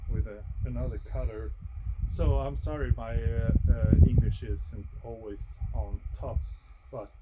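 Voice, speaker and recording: A man whose first language is Swedish, explaining his sawmill work outdoors in a halting, casual way with many restarts. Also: mid-range voice, fairly even intonation, very noisy. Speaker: sawmill vlogger. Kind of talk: casual how-to narration while working.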